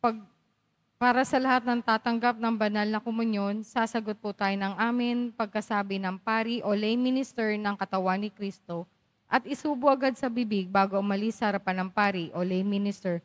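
A woman's voice: medium pitch, fairly even intonation, normal speed. Woman reading church announcements. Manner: polite, instructive. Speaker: lay commentator at Mass.

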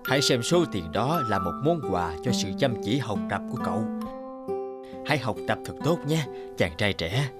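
A man voicing a courteous, generous writer in a cartoon, speaking gently and encouragingly. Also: on the low side, big pitch swings, normal speed. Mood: warm, encouraging. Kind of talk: cartoon dub acting. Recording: loud guitar music under the voice.

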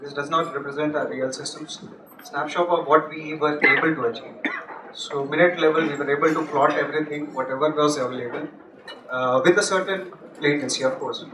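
A young man giving a technical talk at a meetup, halting with many restarts and fillers, on a handheld mic in a large office room. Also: a little high. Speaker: conference speaker. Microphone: handheld mic, far camera. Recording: echoey room from far off, noisy.

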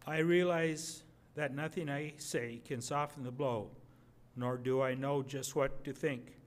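An older man reading a wartime letter aloud at a podium microphone, measured and a little halting. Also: medium pitch, steady tone. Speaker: readers theater reader. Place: museum gallery, indoors.

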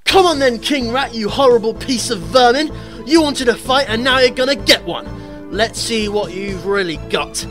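A woman's voice: on the high side, lively intonation, moderate pace, over music, close to an everyday voice. A woman playing a brave, defiant young male hero in a radio pantomime, with earnest, declamatory delivery. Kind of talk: pantomime radio play acting.

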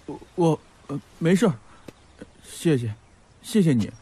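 An actor playing a shy, gentle young Japanese male student in a radio drama, speaking hesitantly. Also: on the high side, lively intonation, measured pace, slightly rough, exaggerated voice. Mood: shy, grateful.

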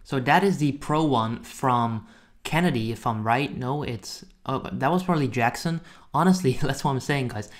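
A man hosts a relaxed software walkthrough for online viewers. His voice sits at an average pitch, and he talks informally, as if chatting to the people watching. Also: moderate pace. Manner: informal, addresses viewers as guys. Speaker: software reviewer and tutorial host. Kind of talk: casual screen-recording walkthrough.

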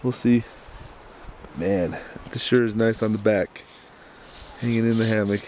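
An American man, a scout leader, casually narrating his backpacking trip on a handheld camera outdoors in the forest. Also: fairly low pitch. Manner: relaxed, matter-of-fact commentary with short chuckles. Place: outdoors in a mountain forest by a rushing stream. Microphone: handheld camera mic. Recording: camcorder outdoors with loud stream noise. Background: rushing stream water.

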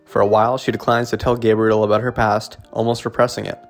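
A man giving scripted narration for a literary video essay in an even, explanatory way. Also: on the low side, fast, vocal fry, soft background music.

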